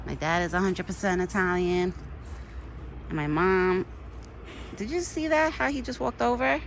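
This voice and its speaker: A middle-aged woman chatting casually outdoors to her viewers. She keeps breaking into high sing-song baby talk for an animal. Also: normal speed, vocal fry, a little hiss or hum. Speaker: vlogger talking to a wild deer. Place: outdoors in a yard.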